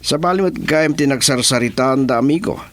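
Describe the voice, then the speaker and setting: An actor playing a sly, scoffing boss who talks business with a crony, in an Ilocano radio drama. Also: male voice, mid-range voice, a little fast, fairly bright tone, natural voice. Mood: scheming.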